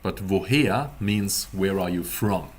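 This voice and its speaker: Male language tutor for live-streamed class; slightly low, rough-edged voice, casual and deliberate, spelling things out word by word. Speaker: German teacher.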